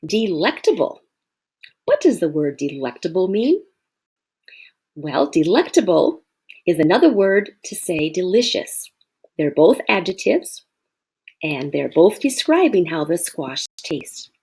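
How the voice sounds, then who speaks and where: A woman's voice: lowish voice, very animated, measured pace, a little mellow, breathy edge. A woman schoolteacher reading a children's storybook aloud, expressively, and addressing her young listeners with explanations. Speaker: elementary school teacher.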